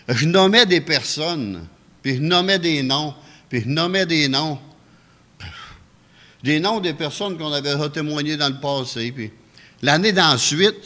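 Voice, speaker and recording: A man with a Québécois French accent preaching a calm, anecdotal testimony sermon in a church, chuckling often. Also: medium pitch. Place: church sanctuary. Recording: echoey church hall.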